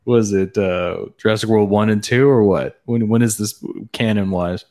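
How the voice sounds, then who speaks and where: A man's voice: fairly low pitch, moderate pace, a little mellow. A man chatting casually as a podcast co-host, trading banter with friends.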